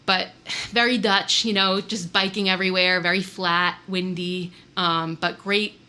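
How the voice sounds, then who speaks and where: A woman whose first language is Swiss German talks as an interview guest in a bright, somewhat low voice. She is chatty and upbeat, and she scatters fillers as she speaks. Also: little pitch movement, a little slow, clear, resonant tone. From born in Switzerland, raised in the US. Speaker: wildlife biologist guest. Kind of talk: casual podcast interview.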